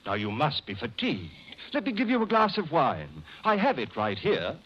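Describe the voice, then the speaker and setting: A man's voice: medium pitch, wildly swooping pitch, normal speed, fairly bright tone. Jittery madman murderer, wildly swinging delivery. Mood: overly hospitable. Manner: theatrical, overpolite, hesitant and jittery. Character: nervous madman murderer feigning genteel calm, hiding guilt. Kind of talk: 1940s radio acting, suspense monologue and dialogue. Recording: noisy, muffled old radio recording.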